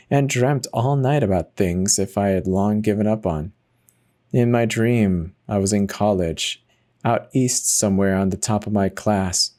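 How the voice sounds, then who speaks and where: A man's voice: low-pitched, warm tone. A man reading a first-person horror story as a dramatic scripted narration. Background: music.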